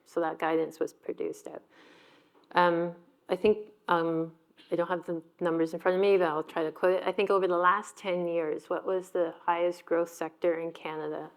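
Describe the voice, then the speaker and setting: A woman with a somewhat low voice speaks on a panel at a business event. She talks briskly and knowledgeably. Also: a little mellow. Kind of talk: panel discussion.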